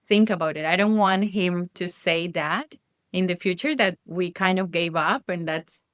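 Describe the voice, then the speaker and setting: A woman's voice: fairly low pitch, moderate pace, fairly bright tone, no room sound, studio quality. A woman from Colombia with a Colombian Spanish accent, a native Spanish speaker talking reflectively as a guest parent, with many restarts. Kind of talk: casual conversation.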